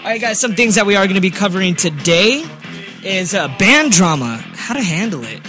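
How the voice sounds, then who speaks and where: A man in his twenties hosts a casual podcast with a fairly high voice. He talks in a slangy, joking way, like chatting with friends. Music plays clearly behind him. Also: sing-song, a little fast, sharp tone. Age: 20s. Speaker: podcast host.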